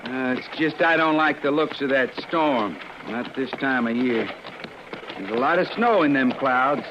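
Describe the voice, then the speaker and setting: A man's voice: medium pitch, unhurried, close to an everyday voice. A man acting a stubborn, plain-spoken frontier settler in a 1950s radio western, worried but firm, with folksy rural phrasing. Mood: gruff, uneasy. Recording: muffled, noisy old radio recording.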